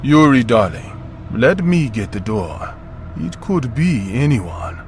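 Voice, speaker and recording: An actor playing a tired, caring middle-aged father from South Korea, speaking calmly in a told story. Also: mid-range voice, slow, a little hiss or hum. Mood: protective. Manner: calm, weary and caring. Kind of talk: radio-style story acting.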